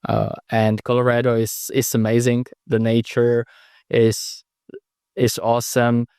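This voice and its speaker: A man's voice: medium pitch, monotone, a little slow, dark tone, clear, resonant tone, no room sound, clean studio sound. A young man from the Czech Republic with a Czech accent, answering interview questions hesitantly with many restarts. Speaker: guest.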